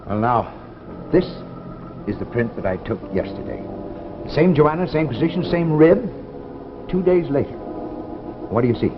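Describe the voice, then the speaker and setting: Animated, grave radio doctor played by a man. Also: mid-range voice, natural voice. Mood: grave, urgent. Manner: emphatic, deliberate, dramatic pauses. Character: grave, insistent male doctor confiding in his friend. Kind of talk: 1950s radio drama acting. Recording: dull, noisy vintage radio recording.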